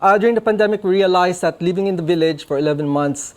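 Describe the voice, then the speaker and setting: A man's voice: high voice, fast. A man with a Visayan Filipino accent, a fashion designer chatting as a guest in a TV studio interview, full of fillers. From Philippines. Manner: chatty and animated, many fillers like "sort of" and "you know".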